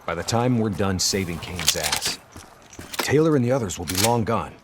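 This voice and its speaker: An actor playing a gruff, cynical veteran soldier in a video game. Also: male voice, low voice, expressive, normal speed. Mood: grimly wry. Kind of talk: video game cutscene acting. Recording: very loud vehicle noise.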